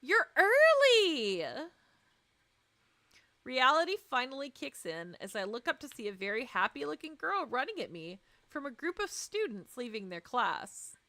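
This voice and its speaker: A woman's voice: on the high side, big pitch swings, moderate pace, few pauses, pushed and bright, no room sound. A woman streamer reading a visual novel aloud expressively, with playful, teasing asides. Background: music.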